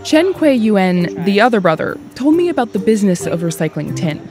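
A woman reporter reading a scripted news voice-over in an even, measured way. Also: medium pitch, loud music behind the voice.

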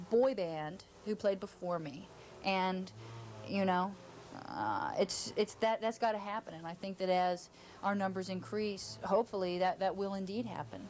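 A young woman musician speaks with assertive, earnest conviction. Her voice sits at an ordinary mid pitch, and she is recorded for a documentary interview. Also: slight background noise.

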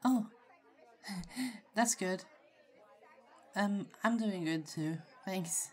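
A young woman playing a shy, friendly college student in an ASMR roleplay, speaking in a hesitant, halting, giggly way. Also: mid-range voice. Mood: friendly, flustered. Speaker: voice actor in roleplay audio. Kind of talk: ASMR roleplay, acted casual conversation. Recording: faint crowd chatter behind. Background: party crowd murmur.

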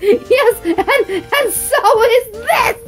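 A woman's voice: extremely high pitch, extremely slow, loud music behind the voice, heavily acted. A woman voicing Papyrus, a proud, caring, playfully menacing skeleton in an amateur audio drama, speaking in a theatrical, emphatic way. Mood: gleeful.

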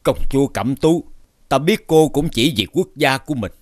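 A man's voice: medium pitch, expressive, normal speed, no room sound, studio quality, natural voice. A man voicing a magnanimous, authoritative king in a fairy-tale cartoon. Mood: forgiving, solemn.

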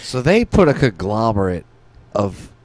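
A man in casual podcast conversation, with an animated, varied pitch. The sound is dry and close, from a studio microphone. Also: a little slow, no echo. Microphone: podcast microphone in a small studio.

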